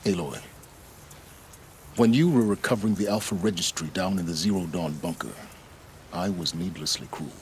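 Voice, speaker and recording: A man playing an aloof, calculating ally in a video game, speaking in a measured, dry and formal way. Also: low voice, darkish tone, a little hiss or hum. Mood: solemn, regretful. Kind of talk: video game cutscene acting.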